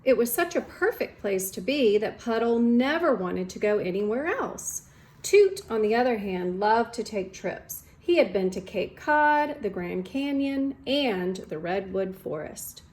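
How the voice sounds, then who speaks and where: A woman's voice: a little high, lively intonation, measured pace, darkish tone, echoey room. A woman speaking informally as an elementary school teacher, chatting and reading a storybook aloud to children.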